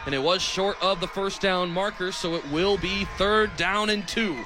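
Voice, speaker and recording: A man in his twenties with an American accent calling football play-by-play on the radio in an energetic, descriptive way. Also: high voice, moderate pace, music in the background. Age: 20s.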